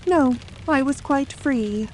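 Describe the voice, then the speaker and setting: A woman's voice: high voice, takes it slowly, slight background noise, rough recording. A woman playing a composed, guarded English wife of a murdered man in an audio drama, speaking earnestly and formally. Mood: grave, guarded.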